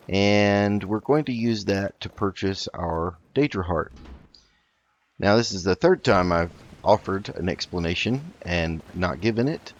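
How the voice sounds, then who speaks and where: A man hosting a roleplay gaming video, talking in a measured, halting way as he plays and narrates. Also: deep voice, highs cut off. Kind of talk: roleplay let's play commentary.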